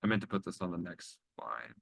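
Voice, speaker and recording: A young man getting over a cold, talking through a coding test in a halting, self-correcting way. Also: low voice, very animated, gravelly, a bit bright, no room sound. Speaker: student solving a coding test. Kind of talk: think-aloud coding walkthrough.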